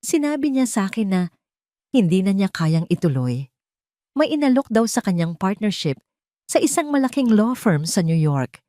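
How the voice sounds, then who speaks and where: A woman with a Filipino accent voicing a heartbroken, gentle young man who confides his past in an audio romance story. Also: mid-range voice, big pitch swings, normal speed, no room sound, studio quality, natural voice. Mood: wistful. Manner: confessional, code-switching into English.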